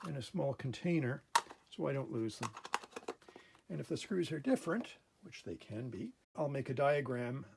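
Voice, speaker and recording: A man hosting a casual hands-on repair demonstration at a workbench, explaining methodically step by step in a gravelly, husky voice. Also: mid-range voice, darkish tone, a little hiss or hum. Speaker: host of a repair channel. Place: indoor workbench in a workshop.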